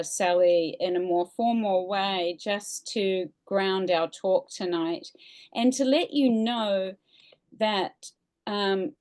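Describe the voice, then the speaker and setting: A woman's voice: medium pitch, darkish tone. A woman with a New Zealand accent introducing a guest speaker at a museum talk over a video call, polite and formal, with frequent ums and uhs.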